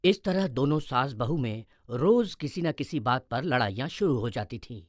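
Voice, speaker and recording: A man narrating an animated moral story in a scripted storytelling style. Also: medium pitch, moderate pace, no room sound, studio quality.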